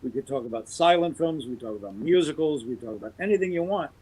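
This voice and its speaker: A man's voice: fairly high pitch, animated, quick pace, darkish tone, breathy edge, low-quality recording. A man, a guest on a podcast, talking casually and with conviction about producing audio drama, getting onto his soapbox. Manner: opinionated, holding forth on a pet topic.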